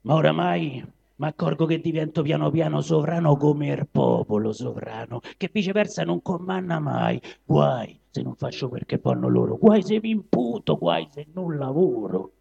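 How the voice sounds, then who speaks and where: Man voicing a proud caged lion, gravelly Roman grumbler. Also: fairly high pitch, animated, normal speed, hardly any pauses, mellow, heavily acted. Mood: bitter, defiant. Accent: Roman Italian dialect. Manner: theatrical, declamatory, grumbling. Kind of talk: dramatic dialect verse recital. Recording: dull lo-fi live recording.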